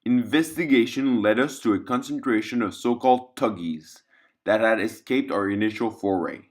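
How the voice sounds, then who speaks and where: Man, medium pitch, scripted narration, clinical report reading. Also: normal speed.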